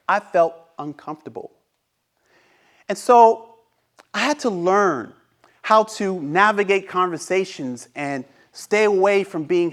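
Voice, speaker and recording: A man whose first language is Haitian Creole, giving a prepared inspirational speech as a guest at a speaking club, turning between loud and hushed and asking rhetorical questions. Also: high-pitched.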